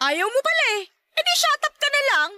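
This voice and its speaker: A woman's voice: very high-pitched, big pitch swings, brisk, bright, forceful tone, no echo, exaggerated voice. A woman playing a spirited, cheeky but sensible young friend in a Filipino radio drama, assertive, with a Filipino accent.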